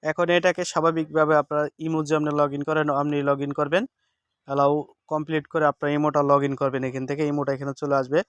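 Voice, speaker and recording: Higher-pitched, clear man. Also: flat delivery, quick pace, dry recording, lo-fi sound. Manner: instructive, repeats steps and seeks confirmation. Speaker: tech tips host. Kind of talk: casual screen-recording tutorial.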